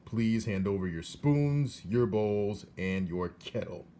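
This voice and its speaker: A man's voice: lowish voice, lively intonation, slow pace, dark tone, a little hiss or hum. A man voicing a stern, dutiful soldier of the king in a storybook, with formal, emphatic official speech. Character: stern, dutiful king's soldier delivering royal orders. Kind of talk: storybook character reading.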